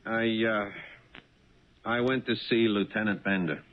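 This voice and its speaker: Uneasy cab driver, tinny old radio. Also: male voice, lowish voice, fairly even intonation, slow pace, slight background noise. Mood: eager. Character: uneasy, honest working-class male cab driver, wary then eager. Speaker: radio drama actor. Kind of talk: 1970s radio drama acting. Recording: tinny, band-limited 1970s radio broadcast.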